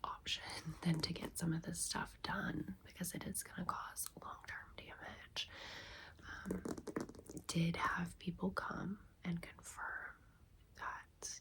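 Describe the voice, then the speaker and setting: A woman in her thirties hosting ASMR, telling a personal story in a breathy, intimate, chatty whisper. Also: lowish voice, flat delivery, slow pace. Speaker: ASMR video host.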